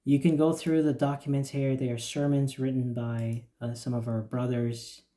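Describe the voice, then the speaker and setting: A man explaining the features of a church website in a steady, plain walkthrough with occasional fillers. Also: medium pitch, moderate pace. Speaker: church website developer giving a demo. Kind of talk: screen-recorded software walkthrough.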